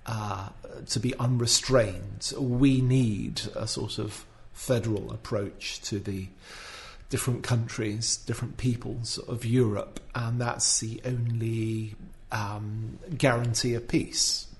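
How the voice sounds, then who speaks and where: Male commentator, mid pitch, hesitant, thinking-aloud conversational delivery. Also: steady tone, takes it slowly. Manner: thoughtful, hesitant, many fillers and restarts. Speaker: podcast guest, writer. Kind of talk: casual discussion.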